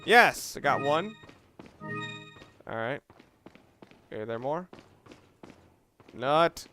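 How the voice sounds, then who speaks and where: A man's voice: mid-range voice, big pitch swings, slow pace, sharp tone, faint music behind. A man giving casual, rambling video game commentary, talking through his reasoning aloud as he plays. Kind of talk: casual let's play commentary.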